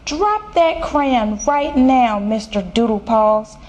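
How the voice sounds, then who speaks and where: A woman voicing a stern but fond mother cat in a children's storybook, firm and coaxing with drawn-out words. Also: mid-range voice, very animated, darkish tone, dull audio. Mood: scolding.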